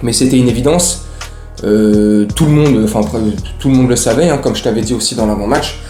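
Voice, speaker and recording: A man's voice: mid-range voice, a little fast, mellow, soft background music. A man giving a casual, slangy football recap as host, talking straight to his viewers. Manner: slangy, chatty, addresses viewers directly. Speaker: host of a football fan show. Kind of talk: casual match recap to camera. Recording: roomy, echoey room sound.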